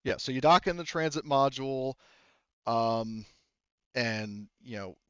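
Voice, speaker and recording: A man's voice: mid-range voice, a little slow, fairly bright tone, clear, resonant tone, no echo, lo-fi sound. A man working as game master, narrating a tabletop roleplaying game casually and often backtracking mid-sentence.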